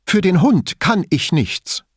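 Man voicing an agitated, high-pitched theatrical woman. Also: sing-song, normal speed, no echo, clean studio sound. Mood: defensive, pleading. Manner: theatrical, indignant, emphatic. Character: agitated, theatrical, defensive, spiteful woman under questioning. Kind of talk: audiobook character dialogue.

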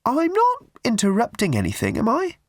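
A man voicing a fussy older woman selling raffle tickets, slow, prim and theatrical. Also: very high voice, wildly swooping pitch, no room sound, studio quality, voice pushed up, exaggerated voice. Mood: cloying.

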